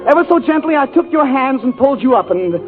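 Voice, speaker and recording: A man's voice: very high voice, expressive, fast talker. A man doing a hammy, mock-romantic comedy monologue on a 1940s radio show. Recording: tinny 1940s radio broadcast with band and audience. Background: orchestra music and studio audience.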